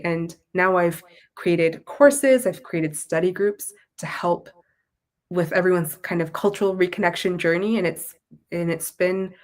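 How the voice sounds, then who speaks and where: A woman with a Canadian accent speaking as an interview guest in a reflective, articulate, conversational way. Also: fairly low pitch. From Toronto, Canada. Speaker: guest, content creator and Tamil language teacher.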